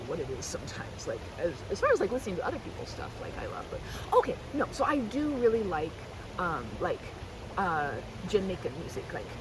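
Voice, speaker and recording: Woman rambling with very lively pitch. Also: background noise. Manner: rambling, halting, repeats herself, earnest. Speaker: ASMR roleplay performer. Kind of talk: rambling, chatty ASMR roleplay as a best friend. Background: riverside park ambience.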